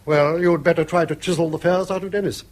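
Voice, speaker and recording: A man's voice: on the high side, steady tone, quick pace, a little mellow, natural voice. An actor playing a bankrupt, argumentative old grandfather in a British radio drama, blustering and sardonic as he needles his family. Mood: needling. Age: older.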